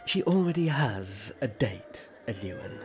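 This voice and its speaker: A man playing a courteous, protective young elf prince in a fantasy audio drama, speaking in a formal, gentlemanly way. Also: mid-range voice, big pitch swings, slow, music in the background. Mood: stiff, protective.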